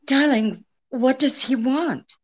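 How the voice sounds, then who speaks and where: A woman acting an alluring, weary, deceitful femme fatale in a 1940s-style radio drama, pleading with dramatic pauses. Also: high voice. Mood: seductive, anxious.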